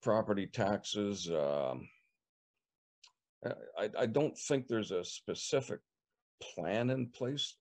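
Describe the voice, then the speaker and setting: An older man with a Canadian accent, answering interview questions on a video call from a living room, measured and repeating words as he thinks. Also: on the low side, lively intonation. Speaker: political candidate. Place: living room with leather couch. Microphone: webcam on video call.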